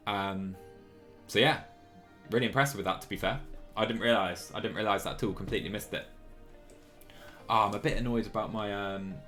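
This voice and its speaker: Low-voiced man streaming over music. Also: a bit bright. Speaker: live streamer playing a cycling management game. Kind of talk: casual live-stream chat and game commentary. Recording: music playing behind the voice. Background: background music.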